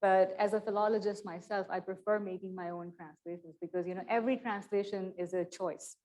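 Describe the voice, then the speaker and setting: A woman lecturer answering audience questions in a measured, thoughtful way, with small hedges and restarts. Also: mid-range voice, fairly even intonation, a little mellow, rough recording. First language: not Persian. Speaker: guest lecturer. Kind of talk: academic Q and A answers. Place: lecture room.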